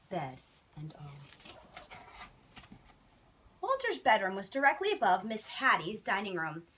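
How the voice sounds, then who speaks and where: A woman reads a bedtime story to children in an expressive teacher's style. Her voice is bright, with lively rises and falls. She phrases dramatically and puts emphasis on key words. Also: medium pitch, slight background noise, poor audio.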